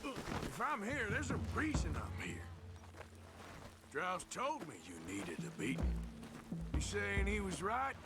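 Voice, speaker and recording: Slow, menacing outlaw debt collector. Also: male voice, sits high, wildly swooping pitch, loud music behind the voice, full character voice. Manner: threatening, slow drawling delivery. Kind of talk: Western video game acting. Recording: game audio under noisy ambience.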